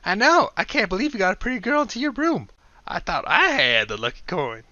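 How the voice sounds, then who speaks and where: A cocky young gambler played by a male narrator fires off loud, high-pitched jokes and mockery. His voice is sharp and swoops all over. The audiobook recording sounds dull and lo-fi. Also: sing-song, normal speed, no echo, heavily acted. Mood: wisecracking. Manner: loud, high, mocking, jokey. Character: young man, cocky wisecracking gambler sidekick.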